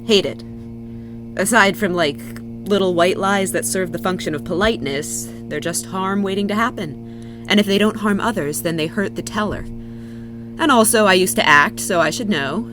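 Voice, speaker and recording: A woman playing a level-headed, honest young woman in an audio drama, speaking in a measured, articulate, earnest way. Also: mid-range voice, over music, lightly acted, rough recording. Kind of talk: naturalistic audio drama dialogue.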